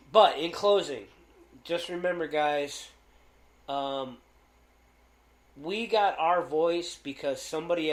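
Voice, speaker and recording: Loud guy rambling on a podcast, lots of ums, roomy echo. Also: fairly high pitch. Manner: rambling, with many fillers and restarts. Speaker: podcast co-host. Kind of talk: casual podcast chat.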